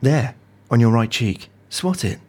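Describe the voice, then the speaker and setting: An actor playing a deliberate, low-voiced male conspirator in an audio drama. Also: lively intonation, darkish tone. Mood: hushed alert.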